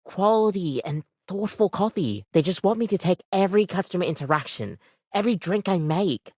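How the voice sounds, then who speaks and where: A woman voicing a living coffee maker in a video game, an earnest, bubbly, chatty barista with an expressive, rambling way of talking. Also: lowish voice, no room sound, clean studio sound. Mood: earnest, dismayed. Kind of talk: scripted video game voice acting.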